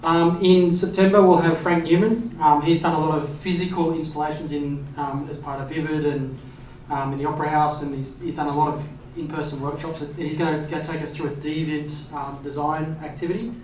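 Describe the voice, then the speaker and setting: A man's voice: fairly high pitch, level tone, fairly quick, a little mellow. A man announcing upcoming meetup sessions in a casual, blunt way with some swearing. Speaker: meetup co-organiser. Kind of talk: event announcements. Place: event space. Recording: echoey room from far off with faint noise.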